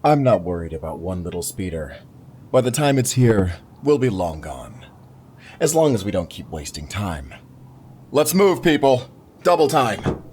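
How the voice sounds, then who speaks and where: A man voices a cool, menacing alien raider in a science fiction audio drama. His deep voice moves slowly and swoops in pitch, politely threatening, with faint noise behind. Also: big pitch swings, darkish tone. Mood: commanding. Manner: mock-polite, menacing, unhurried. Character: cool, cocky male alien cargo thief leader.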